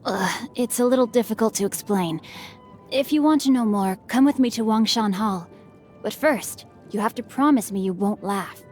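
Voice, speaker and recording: Game role: mischievous young noble lad played by a woman, lightly high voice, polite formal diction, bookish and teasing, over soft music. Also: moderate pace, lightly acted. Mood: thoughtful, polite. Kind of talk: scripted game character voicelines. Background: background music.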